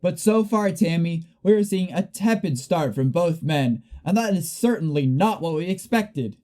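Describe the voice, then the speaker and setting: An excitable play-by-play sports announcer in a radio comedy, acted by a young woman. The delivery is showy and dramatic, with big emphasis on each call, yet the tone stays mellow and rounded. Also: lowish voice, moderate pace, close to an everyday voice. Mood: excited, amazed. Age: young adult. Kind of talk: parody live sports commentary in a radio play.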